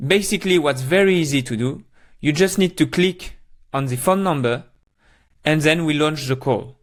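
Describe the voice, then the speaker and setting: A man presenting a software tutorial, explaining step by step in plain, short instructional phrases. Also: fairly high pitch, normal speed.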